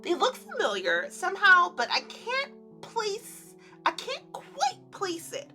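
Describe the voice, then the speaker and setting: A woman reading a visual novel aloud as a gaming creator, expressive and giggly, with gushing casual asides. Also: very high voice, slow, soft background music. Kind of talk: playful let's play read-aloud with casual reactions.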